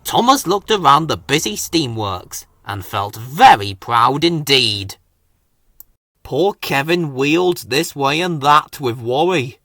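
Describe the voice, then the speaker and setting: A man narrating a children's story in an expressive read-aloud style. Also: medium pitch, slow, no echo, clean studio sound.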